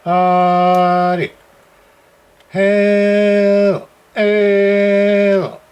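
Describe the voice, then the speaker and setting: Slow-talking man at a radio workbench. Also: high voice, completely flat delivery, darkish tone, clean tone. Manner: mutters test calls and readings. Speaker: radio repairer. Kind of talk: casual workbench commentary.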